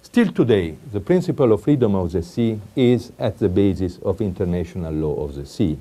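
A middle-aged man with an Italian accent, a law professor giving a recorded academic lecture in a measured way with frequent hesitations. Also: deep voice, animated, mellow. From Italy.

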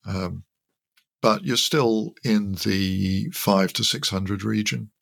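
Expert guest, male, sixties or older. Deepish, creaky voice, thoughtful and halting technical explanations. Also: fairly even intonation, a bit bright, no echo, clean studio sound. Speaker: interview guest, loudspeaker designer.

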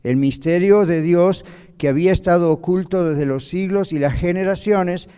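A man leads a church Bible class, chatting with the room and pausing to ask if everyone follows before he reads the passage aloud. His voice sits fairly high yet sounds mellow, and a long hall reverb trails behind each phrase. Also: clear, resonant tone. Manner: conversational, checks in with "¿ok?". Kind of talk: Bible class teaching and scripture reading.

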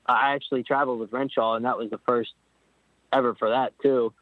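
A young man from Pennsylvania talks in an easygoing, rambling way and often restarts his sentences. He comes through a tinny phone line with a dry sound and no room echo. His pitch sits in an ordinary middle range. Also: fairly even intonation, brisk. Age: young adult. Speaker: guest, motorcycle racer. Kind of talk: casual interview over the phone.

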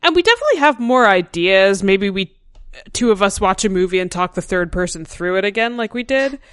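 A woman's voice: mid-range voice, very animated, a little fast, a bit bright, smooth, no room sound, clean studio sound. A woman hosting a casual podcast chat, talking frankly and laughing often. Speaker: podcast host.

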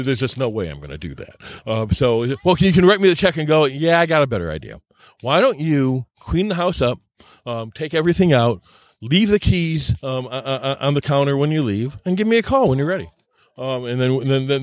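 Halting male storyteller, close dry sound. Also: mid-range voice, no room sound. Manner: halting, repeats and stammers over words. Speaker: podcast storyteller. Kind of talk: casual anecdotal storytelling chat.

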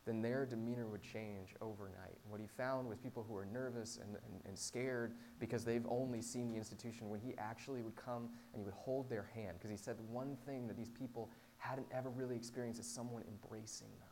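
A man's voice: mid-range voice, steady tone, a little fast, hardly any pauses, darkish tone, low-quality recording. A man preaching in an informal, conversational style as a pastor, with a self-deprecating, humorous manner. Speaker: pastor preaching to a small church. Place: live talk to a small congregation. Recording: clear background noise with hum.

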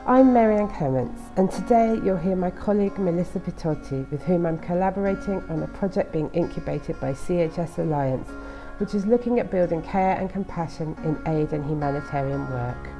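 A woman hosting a podcast, introducing the episode at a steady pace with a few ums. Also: lowish voice, fairly quick, hardly any pauses. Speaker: podcast host. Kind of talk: spoken introduction. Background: music.

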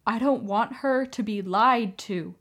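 A woman's voice: on the high side, a little slow, fairly bright tone. A woman acting in a radio play as an anguished, defiant young Armenian mother, pleading with feeling. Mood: resolute, sorrowful. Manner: impassioned, pleading.

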